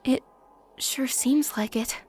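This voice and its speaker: A woman's voice: high-pitched, fairly even intonation, slow, darkish tone, breathy edge, exaggerated voice. A woman voicing an earnest, emotional teenage schoolgirl in an anime-style game dub.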